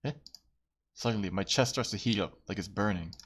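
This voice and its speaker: A man's voice: lowish voice, a little fast, background noise. A man streaming a game, reading aloud and giving casual commentary.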